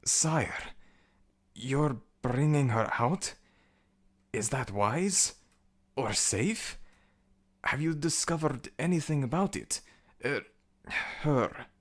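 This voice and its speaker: A man playing a deferential, doubtful embalmer's assistant serving a god of the dead in an ASMR audio roleplay, with a slow, questioning manner. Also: mid-range voice, lively intonation. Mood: curious, uneasy.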